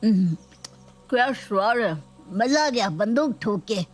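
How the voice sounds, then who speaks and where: A woman's voice: mid-range voice, lively intonation, slow pace, soft background music. A woman voicing a cocky, protective young male thug with a blunt, bragging manner in a story video. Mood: brash. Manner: blunt bragging with a repeated gun catchphrase. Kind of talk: dramatised Hindi story video.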